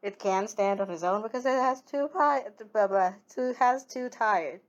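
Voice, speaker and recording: A woman's voice: mid-range voice, moderate pace, dull audio. A young woman, whose first language is not English, chatting casually and reading viewer comments with frequent giggles and rambling restarts. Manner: giggly, rambling, self-correcting. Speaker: streamer.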